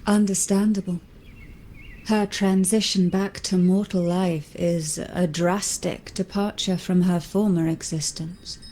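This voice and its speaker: A woman playing a poised, teasing noblewoman in an anime-style video game, speaking in a formal, measured, coolly teasing way. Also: on the low side, fairly even intonation, mellow. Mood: composed, gracious. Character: poised, teasing noblewoman heading a powerful family.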